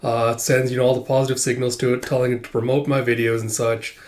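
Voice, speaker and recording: A man with a cold and a sore, stuffy throat recapping a manga chapter casually, halting often with filler sounds. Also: mid-range voice, flat delivery, a little mellow. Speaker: manga reviewer.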